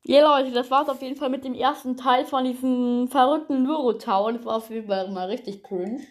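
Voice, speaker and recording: A woman giving excitable, slangy improvised gameplay commentary and talking directly to her audience. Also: high voice, fairly quick. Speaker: gaming narrator and player.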